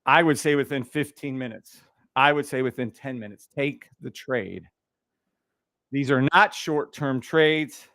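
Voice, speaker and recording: A man hosting a trading livestream in casual chat, speaking loudly and bluntly with frequent swearing and laughter into a boom mic. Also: measured pace, dry recording, studio quality. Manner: loud, blunt and profane, laughs often.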